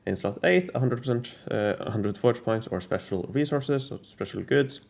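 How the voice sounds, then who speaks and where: A man explaining a game strategy in a casual, methodical walkthrough, his voice a bit rough from a cold, pausing often with uh. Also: medium pitch, a little mellow. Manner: methodical, hesitant with frequent uh fillers. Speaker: strategy game guide creator.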